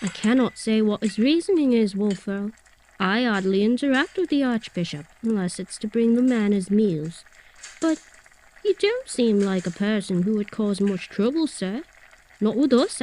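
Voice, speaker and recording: Casting: woman as bossy, warm-hearted kitchen cook for period drama, swooping mellow voice, teasing and colloquial. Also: mid-range voice, animated, moderate pace, lightly acted, low-quality recording. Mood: sympathetic. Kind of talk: audio drama period acting.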